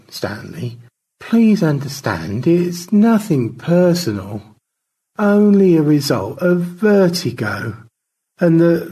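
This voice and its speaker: Man playing husky, swooping, pompous gentleman spaceman. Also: a little high, pitched above the performer's own voice, exaggerated voice. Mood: faintly dazed. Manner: florid, mannered, airily unflappable. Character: George, languid, pompous, theatrical gentleman space adventurer.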